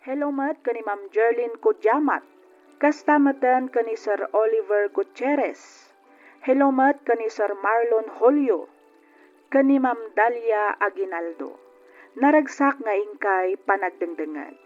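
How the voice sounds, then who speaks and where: A woman's voice: on the high side, unhurried. Woman announcer over music, dull recording. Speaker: radio drama announcer and host. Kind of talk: programme announcing with listener greetings.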